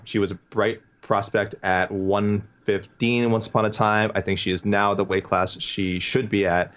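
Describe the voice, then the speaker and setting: Male podcast co-host, chatty and teasing, lower register, lo-fi sound. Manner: chatty, playful, reads listener messages aloud. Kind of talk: casual chat.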